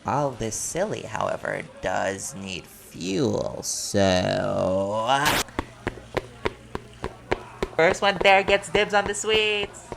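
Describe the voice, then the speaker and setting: A man's voice: on the low side, very animated, drawn-out words, gravelly, long pauses, music in the background, exaggerated voice. An actor playing a playful, caring man in a chatty, teasing audio roleplay conversation. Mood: silly, theatrical. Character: silly, playful, caring man at a community party.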